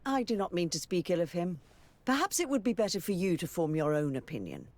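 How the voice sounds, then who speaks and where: A woman's voice: on the low side, very animated, a little fast, darkish tone, slight background noise. An actress playing a discreet, formally polite noblewoman in a video game. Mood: discreet, cautioning. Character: courteous, discreet well-informed noblewoman. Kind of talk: dramatic video game dialogue.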